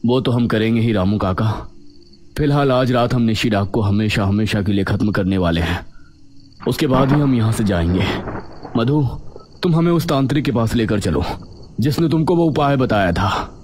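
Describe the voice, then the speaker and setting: A man voicing a firm, determined young police inspector in a cartoon story, with a brisk, authoritative delivery. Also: on the low side, a little mellow, slight background noise, close to an everyday voice. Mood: stern, resolute. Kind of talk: Hindi animated moral horror story dialogue.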